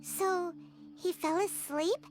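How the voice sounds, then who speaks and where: A woman's voice: extremely high pitch, takes it slowly. A woman voicing a tiny, childlike floating fairy companion in a video game, chatty and full of exclamations. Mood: curious, relieved. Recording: loud music behind the voice.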